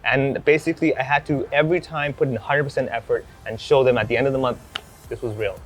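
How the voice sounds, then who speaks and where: A man's voice: medium pitch, quick pace. A man in his thirties from Hong Kong, a comedian chatting casually and acting out bits of dialogue as he talks. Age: 30s. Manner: animated storytelling, acts out dialogue. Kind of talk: casual chat.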